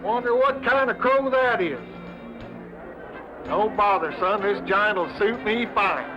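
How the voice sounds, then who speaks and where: A man's voice: high-pitched, measured pace. An actor playing an uncouth, hearty mountain man with a rural American frontier accent in a western drama. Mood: hearty, folksy. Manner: rustic, hearty, blunt. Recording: dull old soundtrack, music behind.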